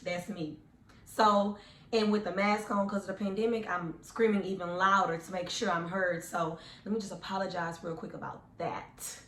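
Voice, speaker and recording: A woman in her twenties with a hoarse, deep, raspy voice, chatting earnestly and casually to her viewers as a vlogger. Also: level tone, normal speed, a bit bright, roomy sound. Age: 20s. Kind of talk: casual personal storytelling to camera.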